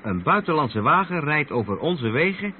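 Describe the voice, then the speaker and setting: Seasoned Dutch trucker, muffled radio sound. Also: male voice, on the high side, very animated, moderate pace. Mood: patient, explaining. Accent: Netherlands Dutch. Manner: patient, explanatory. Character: experienced long-haul trucker patiently explaining to his younger mate. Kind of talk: Dutch radio play acting. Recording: muffled old radio play, vehicle noise.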